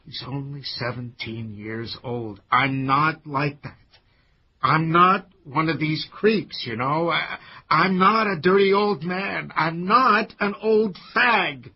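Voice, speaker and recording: An anxious older man in a radio play, defensive and sardonic, tripping over his words in agitation. His voice sits at a middling pitch, and the recording is dull and lo-fi. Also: fairly even intonation, slow, few pauses, breathy edge. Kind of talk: radio drama acting.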